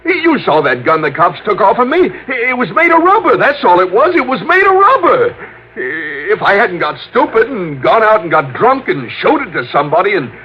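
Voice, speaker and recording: Panicky petty crook, very high swooping voice, stammering slang, defiant, noisy vintage radio. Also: male voice, wildly swooping pitch, normal speed. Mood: protesting. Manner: stammering, protesting, slangy and ungrammatical. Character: panicky, defiant small-time male holdup crook. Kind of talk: 1950s radio crime drama acting. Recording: noisy, muffled old radio recording.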